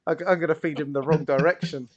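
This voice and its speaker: A man's voice: a little high, steady tone, fast, lo-fi sound. A man co-hosting a tabletop game stream, chatting casually, laughing often and thanking guests as he signs off. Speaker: co-host and player. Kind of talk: casual end-of-stream chat.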